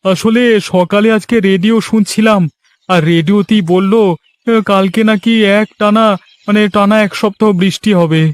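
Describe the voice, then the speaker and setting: Man voicing an envious, high-voiced village schemer. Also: steady tone, moderate pace, no room sound, close to an everyday voice. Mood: chatty. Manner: chatty, conniving. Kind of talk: Bengali cartoon story acting.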